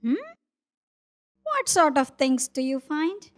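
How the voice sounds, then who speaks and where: Woman voicing high-pitched, inquisitive puppet critter Oliver. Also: big pitch swings, slow pace, exaggerated voice. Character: inquisitive, knowledgeable animal puppet named Oliver. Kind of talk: children's puppet show dialogue.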